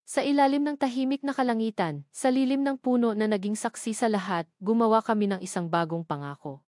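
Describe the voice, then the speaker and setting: A woman's voice: fairly high pitch, fairly quick, darkish tone, no room sound, studio quality. A woman reading a scripted first-person love story as a dramatic narrator. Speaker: narrator of a romance audio drama.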